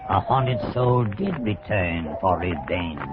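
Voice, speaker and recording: A man's voice: low voice, expressive, slow pace, exaggerated voice. A man playing an eerie, gloating old hermit storyteller in 1940s horror radio, with theatrical, cackling delivery. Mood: gloating, sinister. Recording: muffled old radio with loud music.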